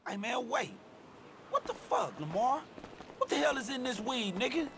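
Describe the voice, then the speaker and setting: A man's voice: extremely high pitch, very animated, normal speed, vocal fry, fairly bright tone, slight background noise, full character voice. A man playing a wary, exasperated young street hustler in a video game, with a Southern California American accent and slangy, sarcastic, complaining delivery. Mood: alarmed. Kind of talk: video game cutscene acting.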